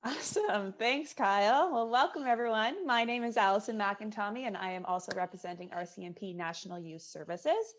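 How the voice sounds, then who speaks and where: A woman moderating an online event for youth services, opening it with a cheerful, energetic welcome over a video call. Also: medium pitch, a little fast, hardly any pauses. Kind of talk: upbeat event hosting.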